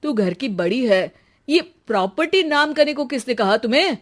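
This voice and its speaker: A woman's voice: high-pitched, animated, normal speed. A woman playing a devoted, protective adult son and husband in a family story, with a casual, familiar manner. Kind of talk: acted Hindi family moral story.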